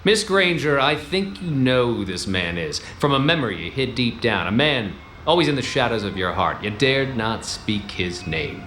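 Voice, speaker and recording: An actor playing a wisecracking, hard-boiled 1940s detective with clipped, gruff, deadpan delivery. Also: male voice, mid-range voice, normal speed, few pauses, roomy sound, a little hiss or hum. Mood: commanding. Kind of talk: 1940s-style radio play acting.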